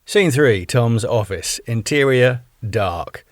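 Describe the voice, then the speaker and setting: A man's voice: mid-range voice, lively intonation, slow, no echo, studio quality. A man from the United Kingdom reading the stage directions of his own script in a plain, matter-of-fact way.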